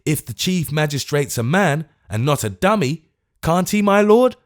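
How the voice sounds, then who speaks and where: A man voicing a choleric, frightened old gentleman who pleads in a shrill, frantic way. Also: expressive, a little slow. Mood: pleading, indignant. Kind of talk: audiobook character voice.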